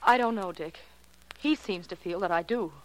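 An actress playing a guarded, uneasy fiancée in a 1940s radio drama, reserved and polite but firm. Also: female voice, lowish voice, normal speed, lightly acted. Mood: uneasy, hesitant. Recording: noisy old radio recording with clicks.

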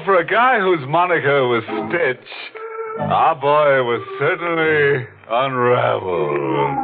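An actor playing a sardonic, macabre mystery host, narrating tongue-in-cheek in 1940s radio style. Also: male voice, medium pitch. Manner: tongue-in-cheek, ghoulish, punning. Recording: tinny old radio broadcast, music behind.

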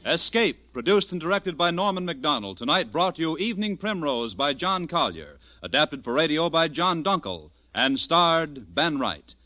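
An American man works as an old-time radio announcer, polished and promotional. His bright, fairly high voice moves with lively inflection over music on a dull vintage recording. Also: normal speed, few pauses. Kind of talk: 1940s radio announcing, scripted.

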